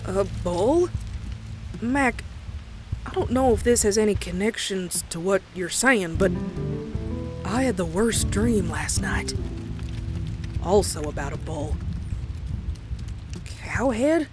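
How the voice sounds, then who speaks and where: A woman's voice: medium pitch, animated, slow, long pauses. A woman playing an anxious young farm pony with a Southern US accent, telling a horror tale in a folksy, uneasy way. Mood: nervous, concerned. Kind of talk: dramatic first-person horror narration and dialogue. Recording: prominent music behind the voice. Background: music.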